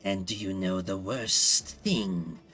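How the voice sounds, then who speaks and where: A man voicing a ravenous, gloating video game villain who feasts on soldiers, with drawn-out menace. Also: sits low, a bit bright, soft background music, voice pushed down.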